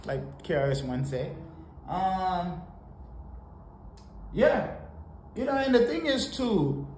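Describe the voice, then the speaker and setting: A man from Haiti giving casual, animated reaction commentary on a song in a small home studio, talking to the camera. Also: medium pitch. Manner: animated, preachy, sprinkled with laughs and "you know". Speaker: music reaction host. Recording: roomy home studio with faint noise.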